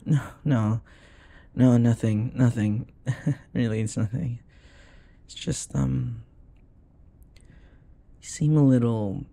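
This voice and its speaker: A man playing an affectionate, teasing young boyfriend in an intimate comfort audio roleplay, speaking in a soft, tender murmur. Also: medium pitch, gravelly. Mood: gentle, concerned.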